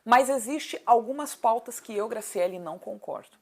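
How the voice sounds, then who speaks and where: A woman's voice: a little high, animated, normal speed, darkish tone. A woman of thirty-eight with a southern Brazilian accent, answering conversationally as an interview guest, emphatic, repeating points and asking rhetorical questions. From Paraná, southern Brazil. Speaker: city council candidate, interview guest.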